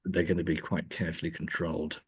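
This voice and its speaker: Deep, husky man, thoughtful. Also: flat delivery. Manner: hesitant, thoughtful, measured phrasing. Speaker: guest academic being interviewed. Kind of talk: reflective conversational interview.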